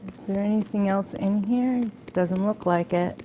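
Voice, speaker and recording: A woman's voice: medium pitch, unhurried, lo-fi sound, background noise. A woman hosting a gaming video, chatting casually and thinking aloud as she plays and reads quest text. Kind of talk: casual let's play commentary, reading quest dialogue aloud. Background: video game music.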